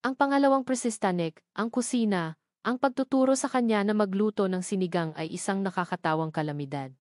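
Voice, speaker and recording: A woman's voice: mid-range voice, a little fast, darkish tone, no echo. Dry-sounding woman narrating a romantic drama. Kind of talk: dramatic scripted first-person narration of a Tagalog love story.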